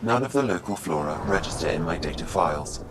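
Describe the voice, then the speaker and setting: An actor playing a calm, analytical artificial intelligence in a video game, speaking in a clinical, precise way. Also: male voice, low-pitched, brisk. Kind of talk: video game dialogue acting. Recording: game dialogue over noisy ambience.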